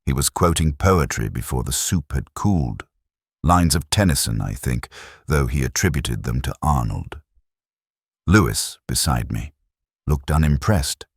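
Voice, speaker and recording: Really low guy reading a moody detective story, pitch moves around a lot, slow-burn literary style. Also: expressive, slightly rough, no echo, studio quality. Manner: measured, literary, brooding delivery. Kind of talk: scripted first-person audio drama narration.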